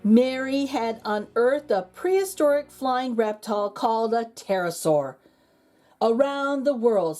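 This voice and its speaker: A woman reading a children's picture book aloud as a storytime host, theatrically, with dramatic pauses and emphasis. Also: on the high side, darkish tone.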